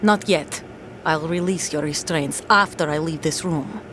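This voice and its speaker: A woman's voice: sits low, unhurried, fairly bright tone, slight background noise. A woman voicing a tough female soldier working undercover, in a video game cutscene with music under it, speaking tersely and commandingly. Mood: urgent. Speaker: game character.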